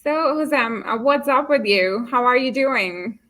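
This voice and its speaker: A woman's voice: fairly high pitch, lively intonation, measured pace, a bit bright. A woman hosting a live online career series, upbeat and dropping frequent "um" fillers, speaking over a video call. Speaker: host.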